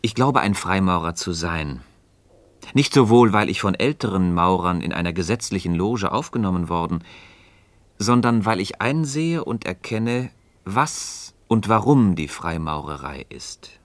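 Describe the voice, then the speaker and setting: An actor playing a calm, cryptic Freemason in a radio dialogue, speaking formally and deliberately. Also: male voice, deep voice, no echo. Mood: earnest, assured. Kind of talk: German radio play dialogue.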